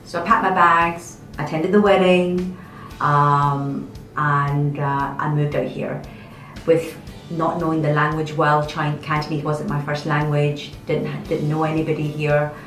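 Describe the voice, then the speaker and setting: A woman with a very low voice tells her story haltingly, pausing to reflect. She is heard from a distance in an echoey room. Soft music plays underneath. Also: level tone. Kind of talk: personal story in an interview.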